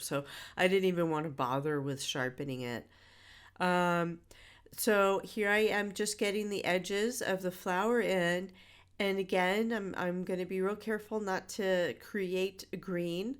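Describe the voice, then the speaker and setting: A woman's voice: lowish voice, a little slow, clear, resonant tone. Stuffy-voiced woman teaching art. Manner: chatty, with many fillers and self-corrections. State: stuffy from a head cold. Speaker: art instructor. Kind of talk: relaxed drawing tutorial voice-over.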